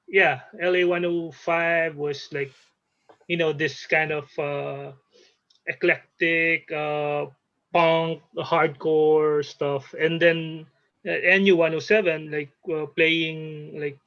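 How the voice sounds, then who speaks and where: A man with a Filipino accent chatting casually in a halting, hesitant way. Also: on the high side, flat delivery, lo-fi sound. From Philippines. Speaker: guest, sound artist. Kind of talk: casual chat.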